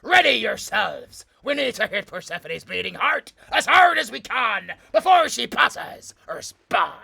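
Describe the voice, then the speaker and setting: A man's voice: very high voice, big pitch swings, measured pace, creaky, pushed and bright, breathy edge, heavily acted. A man playing a battle-hardened ship's captain in a nautical audio drama, urgent and theatrical as he commands his crew. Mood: commanding, fierce.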